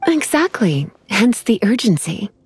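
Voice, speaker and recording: A woman voicing an elegant, teasing witch with a breathy voice and sultry, languid manner in a video game. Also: mid-range voice, very animated, unhurried, over music. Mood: teasing, composed. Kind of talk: video game cutscene acting.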